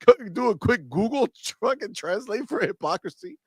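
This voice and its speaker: A man co-hosting a casual gaming live stream, stuffy-nosed, talking in an animated way, swearing freely and laughing often. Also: high-pitched, fairly quick, vocal fry, slightly rough, dry recording. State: stuffy nose. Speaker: gaming podcast co-host.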